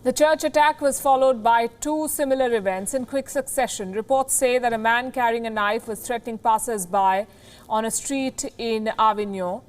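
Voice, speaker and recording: A woman's voice: on the high side, moderate pace. A woman with an Indian accent reading scripted news as an anchor, emphatic and formal.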